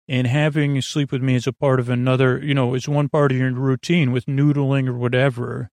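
A man hosting a sleep podcast, talking in a meandering, halting way with a gravelly voice that wanders off into tangents. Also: mid-range voice, level tone, darkish tone, dry recording. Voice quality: creaky, gravelly. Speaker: podcast host. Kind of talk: rambling bedtime sleep podcast monologue.

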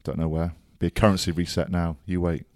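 Man, very deep voice, casual sports chat, rambling, dry close-mic sound. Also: flat delivery, brisk, a little mellow, no room sound. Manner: rambling, frequent ums, enthusiastic about rugby. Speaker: podcast co-host.

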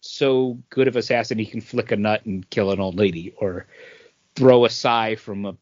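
A man's voice: fairly low pitch, steady tone, normal speed, darkish tone, dry recording, lo-fi sound. A man co-hosting a podcast in a casual, rambling chat about comic book movies. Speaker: podcast co-host.

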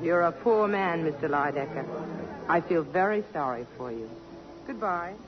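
Earnest young woman, an actress's role, lively intonation. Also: lowish voice, normal speed, over music, natural voice. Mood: hurt, indignant. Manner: polite, earnest, direct. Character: young career woman, earnest, polite yet spirited. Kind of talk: 1950s radio drama acting. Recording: muffled old radio broadcast.

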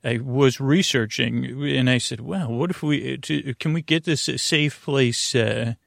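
A man hosting a sleep podcast in a meandering, halting bedtime monologue, full of restarts and whimsical, punning tangents, with a gravelly voice. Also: mid-range voice, a bit bright, no room sound, studio quality. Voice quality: creaky, gravelly. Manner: whimsical, punning, silly asides.

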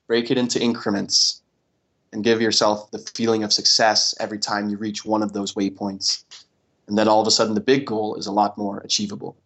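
A young man from Denmark answering interview questions in a relaxed way, with many fillers and restarts. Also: lowish voice, fairly quick. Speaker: interview guest, paddle athlete.

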